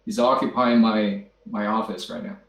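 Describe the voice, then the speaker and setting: Measured Chinese-born man, echoey call. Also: on the low side, steady tone, vocal fry, a bit bright. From China. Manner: measured, with frequent uh fillers. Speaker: guest, company founder. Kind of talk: self-introduction. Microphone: video call. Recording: roomy echo.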